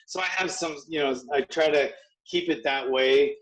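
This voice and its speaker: A man's voice: a little high, fairly bright tone, rough recording. Rambling man in his sixties telling stories. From Hawaii. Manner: rambling, halting with many restarts and fillers. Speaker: guest martial arts trainer. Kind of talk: casual storytelling.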